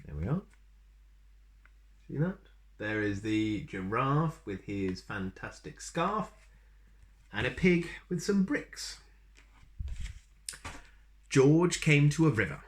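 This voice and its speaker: Slow, animated man reading a children's story. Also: medium pitch. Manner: unhurried, animated. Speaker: storyteller reading a picture book. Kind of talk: expressive children's story narration with asides.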